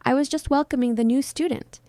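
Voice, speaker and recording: A woman's voice: sits high, fairly even intonation, a little fast, dark tone, no echo, close to an everyday voice. A woman narrator voicing a haughty, possessive teenage mean girl in a story, sweet but cutting. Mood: pleading, possessive.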